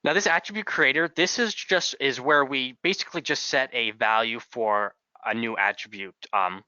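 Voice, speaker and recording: A man giving a software tutorial as a trainer, talking in a halting way with repeated words, in a slightly dull recording. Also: medium pitch, smooth, no echo.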